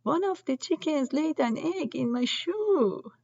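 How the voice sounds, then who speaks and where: A woman's voice: high-pitched, expressive, unhurried, creaky, darkish tone, highs cut off, pitched above the performer's own voice, full character voice. A woman voicing an earnest, fretful little girl in a children's storybook. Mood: upset.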